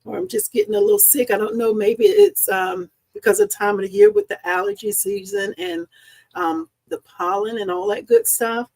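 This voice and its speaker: A woman hosting a live crafting stream from her home craft room, chatty and upbeat, slightly hoarse because she is losing her voice. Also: very high-pitched, sing-song, normal speed, a little mellow, no room sound, poor audio. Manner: chatty and upbeat, greets viewers by name. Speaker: host and embroidery business owner. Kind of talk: casual live-stream chat. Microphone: live-stream setup.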